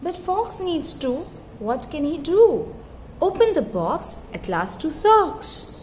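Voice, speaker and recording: A woman with a high voice reads a children's picture book at an unhurried pace. Her delivery is expressive and singsong, with encouraging little prompts for a toddler. It is a home phone recording with clear background noise. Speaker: mother reading to her toddler. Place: tiled floor in a room at home.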